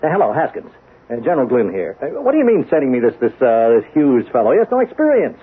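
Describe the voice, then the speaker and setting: A bureaucratic general in a 1970s radio play, flustered and dismissive. He hems and harrumphs in a husky voice, heard through a narrow, phone-like old recording. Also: mid-range voice, huge pitch swings, fast talker. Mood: flustered, exasperated. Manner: hesitant, harrumphing, repeats himself. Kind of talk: 1970s radio drama acting.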